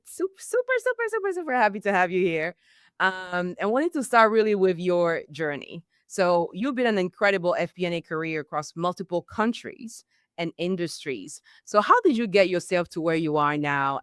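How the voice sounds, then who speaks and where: A woman hosting a podcast interview, enthusiastic, stumbling over repeated words when excited. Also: on the low side, moderate pace, clear, resonant tone, no echo, studio quality. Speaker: podcast host.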